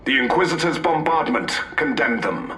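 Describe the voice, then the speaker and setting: An actor playing a sober military officer reporting formally and grimly in a game cutscene. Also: male voice, a little high, moderate pace, sharp tone, roomy sound. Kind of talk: dramatic game cutscene acting.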